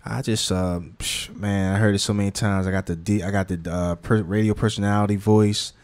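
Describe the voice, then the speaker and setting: Low-voiced man with a laid-back slangy drawl. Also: fairly quick. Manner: relaxed slangy talk with many restarts and fillers. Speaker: magazine founder. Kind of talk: casual interview conversation.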